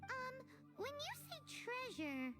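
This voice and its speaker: A woman voicing a tiny, chatty, cheeky fairy-like girl companion in a video game, with a squeaky childlike voice and brash manner. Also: huge pitch swings, slow, heavily acted. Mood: teasing. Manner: brash, speaks of herself in third person. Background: loud music.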